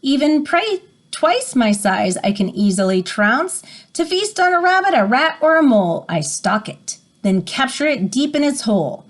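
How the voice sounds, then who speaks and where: Woman voicing a proud, animated little weasel hunter. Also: high voice, normal speed. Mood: stealthy, boastful. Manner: boastful rhyming storybook verse.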